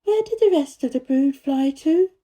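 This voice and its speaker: A woman's voice: high voice, expressive, normal speed, warm tone, pitched above the performer's own voice. A woman voicing a prim, curious, lonely little girl in a children's storybook, speaking in a direct way. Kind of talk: storybook character reading.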